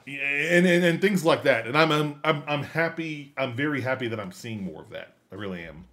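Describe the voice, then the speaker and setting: Emphatic man with a mid-pitched podcast voice. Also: expressive, fairly quick, bright, forceful tone. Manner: emphatic, repeats and rephrases his points. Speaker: podcast co-host. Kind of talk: casual discussion of films and games.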